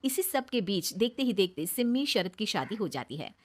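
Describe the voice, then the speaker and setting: A woman's voice: mid-range voice, very animated, normal speed. A woman reading scripted narration for a moral story as its storyteller.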